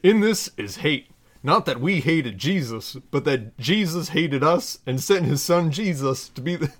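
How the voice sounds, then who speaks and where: A fervent Texan pastor put on by a man preaches mock scripture in a theatrical drawl. The voice swings widely in pitch from phrase to phrase. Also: expressive, a little slow, a bit bright. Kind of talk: theatrical sermon parody.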